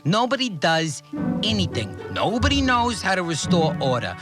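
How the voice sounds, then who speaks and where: An obsessively orderly, short-tempered cleaner in a podcast drama monologue. His fairly high voice swings widely in pitch as he speaks in simple, blunt, sweary sentences. Music plays clearly behind him. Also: huge pitch swings, normal speed. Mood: exasperated, indignant. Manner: blunt, plain-spoken, indignant, sweary. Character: obsessively orderly, irritable male cleaner, simple-minded rule follower. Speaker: voice actor in a fiction podcast. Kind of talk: first-person audio drama monologue.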